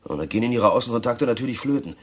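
A man's voice: medium pitch, lively intonation, very fast, husky. A man acting in a radio crime drama as a crude, jovial, uneducated prison cellmate who talks in a pushy, teasing, colloquial way. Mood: eager, scheming.

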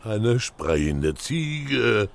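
Old Russian winter gift-bringer, gloomy and ponderous, male actor, creaky slow delivery, children's audio play. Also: on the low side, very animated, exaggerated voice.

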